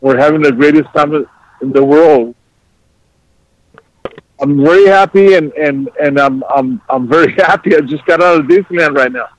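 A man's voice: a little high, normal speed, dry recording, low-quality recording. Cheery prank caller man, dry close sound. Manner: repetitive, oddly cheery.

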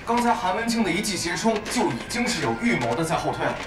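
A man's voice: sits high, very animated, fast. A man playing an assured esports analyst in an audio drama, explaining matches conversationally as a broadcast over speakers. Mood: analytical. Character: measured male esports analyst, co-commentator. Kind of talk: sports commentary in an audio drama. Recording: echoey, loud music behind.

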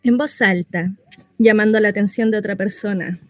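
A woman's voice: mid-range voice, steady tone, moderate pace, no room sound. A woman reading out stage directions as scripted narration for a play.

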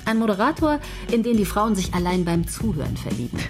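A confident head writer runs the meeting and talks down to her team, as an actress plays her. Her pitch swoops lively in a brisk, persuasive delivery. Clear music sits behind the radio play. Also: natural voice. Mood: bossy. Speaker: head of a soap writing team.

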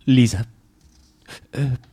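An actor playing a bitter, proud, self-loathing recluse in a theatrical radio drama, with a volatile, declamatory and halting delivery. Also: male voice, medium pitch, dark tone, husky. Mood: proud, agitated.

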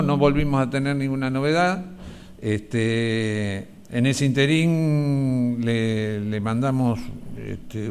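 An Argentine man giving spoken testimony for a documentary, haltingly, often searching for his words. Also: medium pitch, clear, resonant tone. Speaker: interviewee, relative giving testimony.

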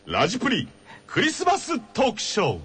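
Over background music, a man co-hosts a radio show in a very animated voice. He talks quickly and casually, joking and throwing in quips. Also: very high voice, slightly rough. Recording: music playing behind the voice.

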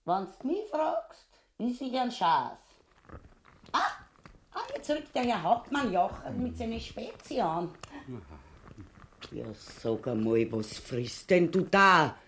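An actress playing a scheming, bossy, vulgar wife in a farcical radio play, theatrical and emphatic, with a Viennese Austrian accent. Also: female voice, medium pitch, big pitch swings, slow, pauses often, fairly bright tone. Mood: suspicious.

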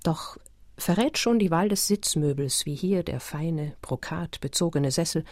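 A woman narrating a radio play in a measured, essayistic, literary manner. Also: low-pitched, a little mellow, dry recording, studio quality. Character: measured documentary narrator of explorer history.

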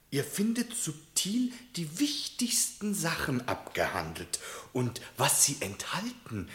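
A gullible ram courtier, radio play, eager to please, boastful, slow delivery, roomy sound. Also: male voice, mid-range voice, a bit bright. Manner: deferential, boastful, self-important. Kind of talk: German radio drama acting.

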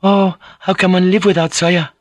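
A man's voice: high voice, little pitch movement, moderate pace, no echo, voice pushed up, natural voice. A man voicing a young Japanese courtesan, a playful and gracious hostess with polite, coaxing questions. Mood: innocent, apologetic.

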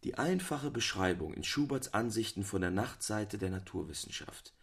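An actor, a man, playing a frank, discerning friend who gives deliberate literary critique in a radio reading. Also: lowish voice, expressive, creaky, husky, exaggerated voice. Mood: disapproving, reflective.

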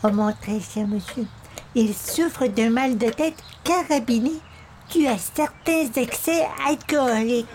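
A woman's voice: fairly high pitch, takes it slowly, a little mellow, slight background noise. A woman playing a prim, pious, easily scandalized visitor in a radio comedy, speaking in a formal, melodramatic manner. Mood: pitying, disapproving. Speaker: actor in an audio comedy.

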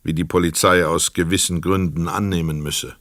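A man narrating a literary radio play from a script in a measured, precise storytelling manner. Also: low-pitched, steady tone, fairly bright tone, no echo, studio quality.

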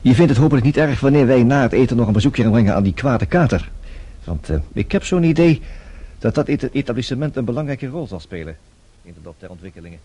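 An actor playing a flirtatious, persistent investigator in a radio play, with a husky voice and a teasing, smooth-talking manner. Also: male voice, mid-range voice, animated, fast, warm tone. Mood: coaxing, flirtatious. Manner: teasing, smooth-talking, hesitant little interjections. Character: flirtatious, persistent male private investigator Bill Summers.

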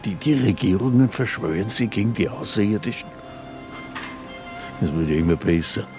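An actor playing a grumbling, skeptical hostage in a radio play, with a dry, incredulous manner and an Austrian accent. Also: male voice, lowish voice, lively intonation, moderate pace, over music, natural voice. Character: skeptical, grumbling male hostage, a sound engineer. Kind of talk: contemporary radio play acting.

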